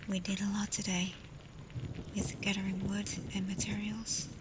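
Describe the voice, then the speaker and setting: A woman playing a tender, protective alpha werewolf in an intimate ASMR roleplay, speaking softly and breathily, often in a whisper. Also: medium pitch, flat delivery, natural voice. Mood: shy, affectionate. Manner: slow, gentle, hesitant when confessing feelings. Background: ambient storm noise.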